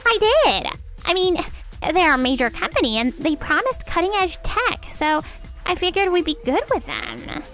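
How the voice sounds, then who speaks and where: Dramatic manga-dub wife in business, played by a woman, very high swooping voice, defensive. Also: very animated, normal speed, fairly bright tone, heavily acted. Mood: panicked. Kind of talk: anime-style manga dub acting. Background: music.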